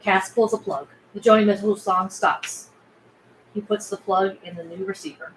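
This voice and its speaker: A woman with a mid-pitched voice narrates screenplay directions. She is recorded in a roomy, echoing space with faint noise behind her. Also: normal speed, fairly bright tone. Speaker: reader of stage directions. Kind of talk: scripted narration at a table read. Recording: echoey room, faint background noise.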